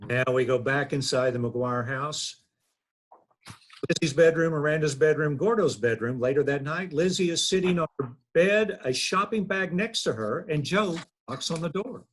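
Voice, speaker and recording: A man's voice: a little high, normal speed. A man reading and moderating at a table read over a faintly noisy video call. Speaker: script narrator and moderator.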